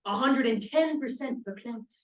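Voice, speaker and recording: A woman's voice: fairly high pitch, normal speed, close to an everyday voice. A woman acting a blunt, emotional young feminist in a script read-through, dramatic and earnest. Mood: exasperated. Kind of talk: table read of a play script. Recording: echoey room, distant microphone.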